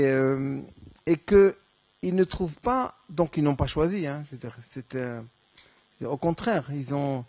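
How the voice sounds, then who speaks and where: A man's voice: medium pitch, a little mellow, no echo. A man from Morocco whose first language is Darija, answering questions at a conference with many restarts and fillers. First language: Moroccan Arabic (Darija). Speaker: conference speaker. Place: lecture hall.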